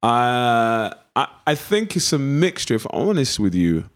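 A man's voice: mid-range voice, sing-song, unhurried. A man with an East London accent, a guest actor chatting casually in an interview, rambling with lots of fillers and restarts. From East London, England. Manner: laid-back, rambling with many fillers and restarts.

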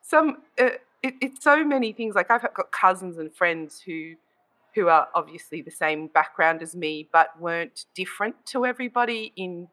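A woman hosting a casual conversation, expressive and quick to laugh, asking her guests questions. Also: lowish voice. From Australia. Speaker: host. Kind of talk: casual podcast chat.

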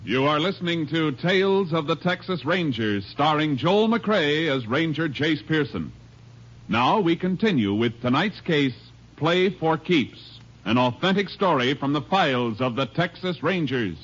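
A man announcing a radio drama in a measured, formal way. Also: on the high side, lively intonation, a bit bright. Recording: dull old radio broadcast recording.

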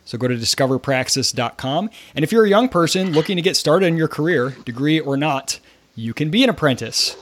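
A man's voice: fairly high pitch, lively intonation, brisk, slight background noise. A man hosting an interview podcast, talking casually with plenty of fillers and restarts as he introduces his guest and asks questions. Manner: chatty, with frequent restarts and fillers. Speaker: podcast host.

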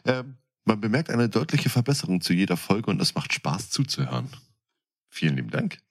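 A man's voice: fairly low pitch, very animated, fairly quick. A man co-hosting a podcast, chatting casually in a playful, joking way. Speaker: podcast co-host. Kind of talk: casual chat.

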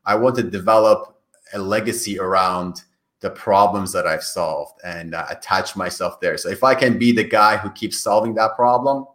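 Earnest low-voiced man, Farsi native. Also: moderate pace, fairly bright tone. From Iran. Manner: earnest, repeats words as he restarts. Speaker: podcast guest. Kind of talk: casual interview answers. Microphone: call.

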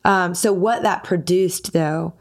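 A woman's voice: fairly low pitch, slow pace, a little mellow. A young adult woman, a singer, talking casually and acting out quoted dialogue as she tells a story. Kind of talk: casual conversation.

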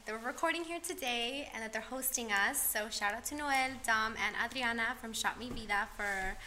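A woman's voice: fairly high pitch, moderate pace, sharp tone, slight background noise. A young woman co-hosting a podcast, enthusiastic and gushing, laughing often in casual chat. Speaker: podcast co-host.

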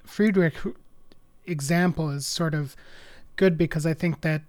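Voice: medium pitch, steady tone, a little slow, a little mellow. An interviewer asking a long, wry, academic question.

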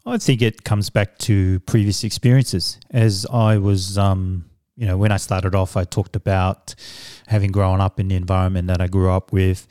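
Casting: middle-aged samoan-born man, native samoan speaker, low mellow voice, dry close sound, measured and reflective. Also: flat delivery, clean tone, no echo. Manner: measured, reflective, with fillers and restarts. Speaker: guest, business coach. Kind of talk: conversational interview answers.

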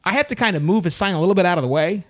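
A man recounts a story in easygoing podcast chat. His pitch swings widely as he goes, and he slips into voices for the other people in it. The tone stays loose and conversational. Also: animated, very fast. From United States.

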